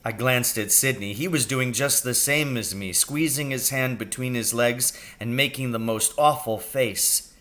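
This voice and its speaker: A man narrates a children's storybook. His voice sits at a medium pitch with a bright, forceful edge, and the delivery is expressive. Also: a little slow. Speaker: reader of a children's novel.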